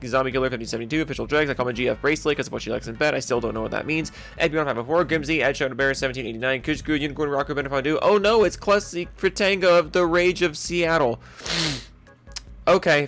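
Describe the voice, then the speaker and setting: Fast-talking, blunt, sweary man. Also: fairly high pitch, a bit bright. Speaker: video creator. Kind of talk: opinionated rant and reading out supporter names.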